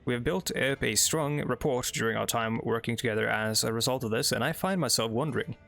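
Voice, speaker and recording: A man's voice: mid-range voice, a little fast, creaky, a bit bright. A young man from Norway with a Norwegian accent, English not his first language, rambling casually through gameplay commentary with lots of fillers. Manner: rambling, filler-heavy, chatty asides while reading game text. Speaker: let's play host.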